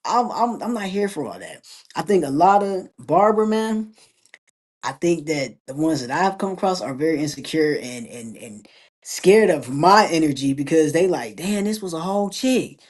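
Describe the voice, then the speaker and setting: Man with a low, sultry, playful drawl. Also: expressive, moderate pace. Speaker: podcast guest. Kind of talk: casual conversational storytelling.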